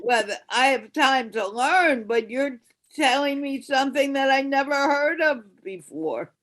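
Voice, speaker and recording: A woman's voice: sits high, animated, a little slow, a bit bright. A woman hosting a casual video call chat, playfully teasing her guest with mock disbelief. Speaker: host of an English-speaking practice show.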